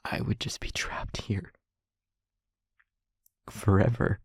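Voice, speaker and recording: Anguished demon lover, male actor, audio roleplay. Breathy, husky half-whisper, halting confession, very dry and close sound. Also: no echo, natural voice. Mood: despairing. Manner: halting, slow, emotionally weighted delivery. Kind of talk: intimate dramatic audio roleplay monologue.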